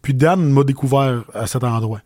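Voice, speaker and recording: A man with a Québécois French accent, telling his story casually as an interview guest, with many pauses and restarts. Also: mid-range voice, darkish tone, dry recording.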